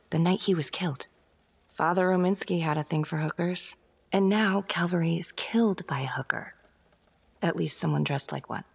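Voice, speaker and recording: A woman playing a driven, suspicious police detective in a naturalistic game drama, terse and intense with a wry edge. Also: a little mellow. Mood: brooding, analytical. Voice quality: low, husky, creaky. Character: driven, suspicious female police detective on a serial killer case.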